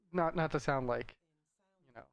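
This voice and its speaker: A man's voice: a little high, normal speed, creaky, clear, resonant tone, dry recording. A man giving casual, rambling commentary over a video game in a conversational, hedging way. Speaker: gameplay commentator.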